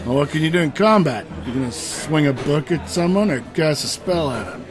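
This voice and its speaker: An actor playing a blunt, sarcastic barbarian adventurer with a casual manner in a comic fantasy audio drama. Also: male voice, a little high, animated, fairly quick, natural voice. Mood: sarcastic, impatient. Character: gruff but civilized, wisecracking male barbarian adventurer. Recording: music playing behind the voice.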